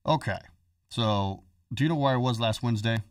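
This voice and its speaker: A man's voice: medium pitch, brisk, no room sound, studio quality, distant mic. A man hosting a podcast in casual chat, joking and telling a story in a chatty way. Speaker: podcast host.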